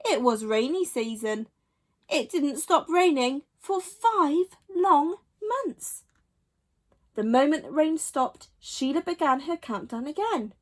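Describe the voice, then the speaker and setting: A woman reading a children's bedtime story expressively, with dramatic emphasis and pauses. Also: high-pitched, animated.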